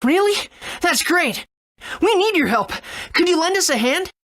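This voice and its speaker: A woman voicing an earnest, excitable young boy adventurer in a video game. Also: very high-pitched, measured pace, full character voice. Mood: eager.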